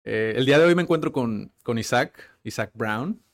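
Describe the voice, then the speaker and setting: A man hosts a casual interview. He rambles in halting phrases and leans on fillers and tag questions. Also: medium pitch, expressive. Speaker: podcast host.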